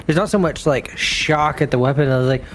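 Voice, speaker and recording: A man's voice: fairly high pitch, a little fast, slight background noise. A man playing a tabletop game, thinking aloud in casual, colloquial speech as he describes his moves. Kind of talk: casual improvised game talk.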